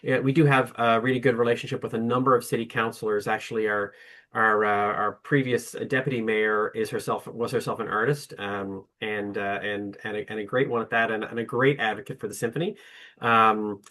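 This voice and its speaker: Male panelist, bright tone, halting with lots of fillers. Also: fairly low pitch, fairly even intonation. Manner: hesitant, many fillers and restarts. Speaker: orchestra representative. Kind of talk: webinar panel talk.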